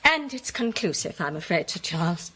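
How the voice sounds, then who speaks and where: Arch English society woman, lightly husky. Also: lowish voice, sing-song, moderate pace, pushed and bright, full character voice. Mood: coolly contradicting. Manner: arch, politely cutting. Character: poised, wry, worldly English society woman. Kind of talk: radio drama acting.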